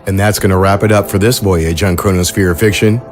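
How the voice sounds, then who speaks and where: A man reading the cast credits and announcements for an audio drama podcast in a measured, scripted way. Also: sits low, steady tone, soft background music.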